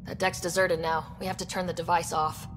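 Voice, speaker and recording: An actress playing a brisk, decisive space squad leader in a video game, speaking in confident, commanding, concise lines. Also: female voice, fairly low pitch, little pitch movement, long reverb tail, music in the background, close to an everyday voice. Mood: composed, decisive.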